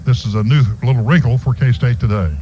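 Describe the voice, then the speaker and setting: An American male radio announcer calls a football game live, play by play. His voice sits in a middle register. The broadcast carries clear background noise from a stadium booth. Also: medium pitch, a little fast, warm tone, lo-fi sound.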